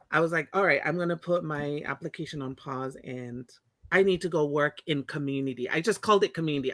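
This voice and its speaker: A woman's voice: sits low, no room sound. A woman from Tonga, an interview guest telling her personal story in a reflective, candid way, often restarting her sentences. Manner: reflective, frequent restarts and self-corrections.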